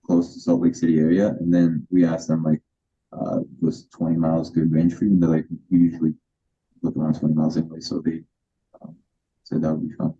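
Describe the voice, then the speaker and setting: A man's voice: very deep voice, completely flat delivery, a little fast, warm tone, breathy edge, lo-fi sound. A man answering questions over a video call, hesitant and full of ums. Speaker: agency owner being coached.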